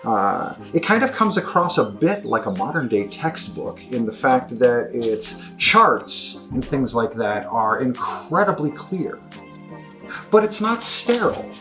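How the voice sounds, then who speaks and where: A man's voice: medium pitch, sing-song, measured pace, pushed and bright, faint music behind. A man giving an enthusiastic, unscripted product review as a game shop presenter, effusive and emphatic, often repeating words for stress.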